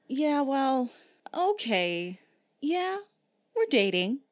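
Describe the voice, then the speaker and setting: A woman's voice: sits high, sing-song, extremely slow, no echo, studio quality, heavily acted. An actress playing a flustered young woman, a casual older sister, with hesitant delivery in an audio fan drama.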